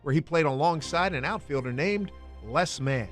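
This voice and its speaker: A man's voice: a little high, normal speed. A man narrating sports history in a storytelling style. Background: faint music.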